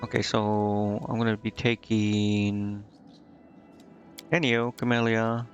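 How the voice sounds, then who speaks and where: A man with a noticeably creaky, fry-laden voice at a middling pitch streams a game in a casual way. He mutters hesitantly as he thinks aloud and reads text off the screen. The sound is a little dull and lo-fi, with music faintly behind him. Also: little pitch movement, slow pace.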